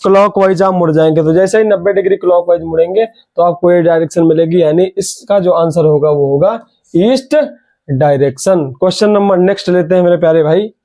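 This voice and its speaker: A man's voice: high-pitched, fairly quick, dark tone. A young man with a sore throat teaching an online exam reasoning class, urging students on and repeating key words.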